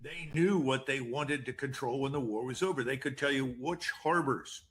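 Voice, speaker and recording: American male pundit, husky mid-range voice, conversational. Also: mid-range voice, normal speed, fairly bright tone. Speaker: interview guest, political commentator. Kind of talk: conversational political commentary.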